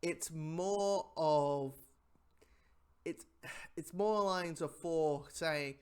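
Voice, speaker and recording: Man, slightly high voice, casual reviewer, rambling, halting, repetitive phrasing. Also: darkish tone, clean tone.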